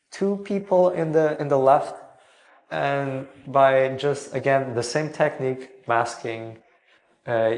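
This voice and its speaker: A man from Portugal calmly explaining video composition as a tutor, with some fillers and an encouraging tone. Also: mid-range voice. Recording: roomy echo.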